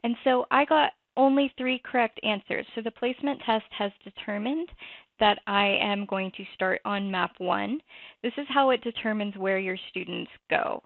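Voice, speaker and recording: Woman with a creaky voice demoing software, tinny. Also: mid-range voice, moderate pace, no echo. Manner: conversational, explanatory. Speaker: software demo presenter. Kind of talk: screen-recorded software walkthrough. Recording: tinny phone-like dry audio.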